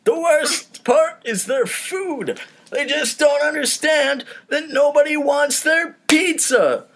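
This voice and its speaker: Guy doing a pushy excitable banker for a comedy read, voice pitched way up, bigoted and theatrical. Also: takes it slowly, heavily acted. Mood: scornful ranting. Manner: theatrical, ranting salesman delivery. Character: bigoted, excitable male banker, falsely cheerful.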